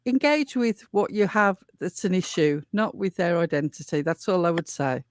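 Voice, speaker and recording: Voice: mid-range voice, animated, moderate pace, no echo, studio quality. Earnest friendly chat co-host. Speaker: podcast co-host. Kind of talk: casual group chat.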